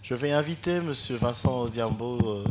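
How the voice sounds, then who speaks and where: A man's voice: mid-range voice, moderate pace, slight background noise. A man moderating a panel, giving brief, polite introductions.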